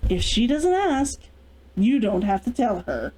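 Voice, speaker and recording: A woman's voice: on the high side, sing-song, a little slow, darkish tone, low-quality recording. A woman voicing a proud, stubborn young heiress with indignant, clipped retorts in a storybook reading. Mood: commanding.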